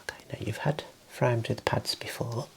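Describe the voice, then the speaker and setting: A man in his thirties whispering gently and soothingly in an ASMR roleplay as an optician, close to the mic in a small room. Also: medium pitch, steady tone, moderate pace. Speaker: ASMR performer playing an optician.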